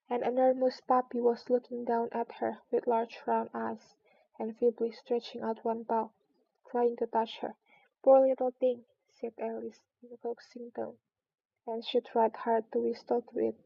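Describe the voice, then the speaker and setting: A woman reads a storybook aloud in a fairly high voice. Her delivery is very flat, with noticeable fry in the voice. She sounds like a streamer doing a read-aloud session. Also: muffled recording. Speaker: streamer reading books aloud.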